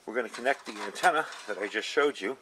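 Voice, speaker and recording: A man's voice: lowish voice, fast, sharp tone, rough recording. A man giving a casual, hands-on product demo in an informal, folksy, explanatory way. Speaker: video host, product reviewer. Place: outdoors in a gravel yard. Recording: outdoor camera recording with ambient noise.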